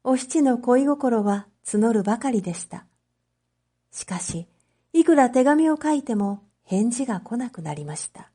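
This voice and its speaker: A woman narrating a scripted story as a teacher for language learners, reading carefully in clear phrases. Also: fairly high pitch, expressive, measured pace, dark tone. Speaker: teacher narrating read-aloud material.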